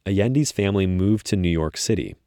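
A man hosting a documentary podcast, reading scripted narration in a measured, polished way. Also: low voice, expressive, dark tone, dry recording, clean studio sound.

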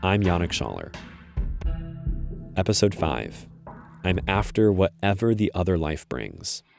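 Deep-voiced male podcast narrator. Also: big pitch swings, creaky, over music. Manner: measured, polished delivery. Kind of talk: scripted documentary narration.

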